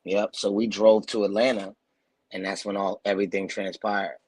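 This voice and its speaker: A man's voice: fairly low pitch, flat delivery, normal speed, a little mellow, husky, no room sound, rough recording. A man from New Jersey, in his thirties, telling stories in a laid-back, slangy way on a video call interview. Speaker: guest actor. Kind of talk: casual storytelling interview.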